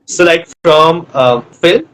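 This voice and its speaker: A man's voice: fairly high pitch, slow, fairly bright tone, poor audio. A man from North India hosting a live online interview, chatty and friendly, rambling with fillers as he asks his questions.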